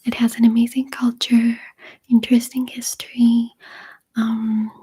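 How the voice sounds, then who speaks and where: A young woman with a Russian accent, whispering softly and hesitantly as she answers viewer questions. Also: fairly high pitch, flat delivery, a little slow, husky. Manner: soft unvoiced whispering, hesitant with fillers. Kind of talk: whispered ASMR Q&A.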